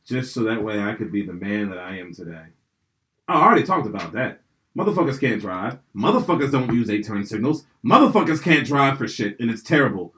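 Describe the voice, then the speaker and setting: Young male podcast host, lively swooping delivery, cusses freely, rambles through stories. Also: on the low side, fairly quick. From Pennsylvania. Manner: profane, rambling, animated storytelling. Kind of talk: casual chat.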